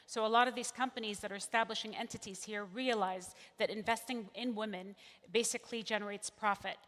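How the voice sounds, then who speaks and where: A woman's voice: mid-range voice, fairly even intonation, brisk, a bit bright. Confident woman official on a panel. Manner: confident, upbeat, with many uh fillers. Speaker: government deputy minister. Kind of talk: panel talk. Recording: long hall reverb.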